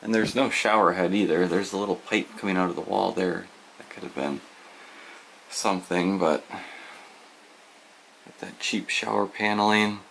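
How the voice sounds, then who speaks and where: A man casually narrating as he explores an abandoned farmhouse, musing quietly and sometimes dropping to a whisper, recorded on a handheld camera mic indoors. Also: moderate pace, creaky, pauses often, slight background noise, rough recording. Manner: musing, low-key, trailing off into soft whispers.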